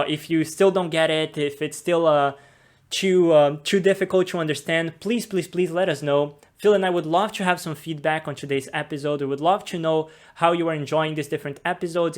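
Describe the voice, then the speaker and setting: A young man from Brazil, a podcast host, patiently explaining science in plain steps before an interview. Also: on the high side. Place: office room with a table. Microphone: desk mic on a boom arm.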